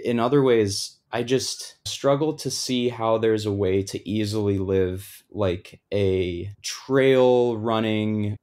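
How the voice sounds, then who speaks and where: Man, lebanese roots, low voice, relaxed, loose storytelling, lots of filler words. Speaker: guest, thru-hiker. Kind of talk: casual interview storytelling.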